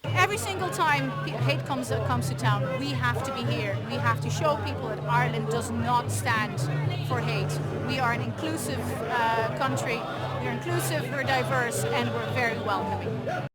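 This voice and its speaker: Woman declaiming over a loud outdoor crowd. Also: fairly high pitch, normal speed. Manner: emphatic, declaiming. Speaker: counter-protest speaker. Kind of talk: protest speech. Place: city street outdoors. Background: loud crowd and music.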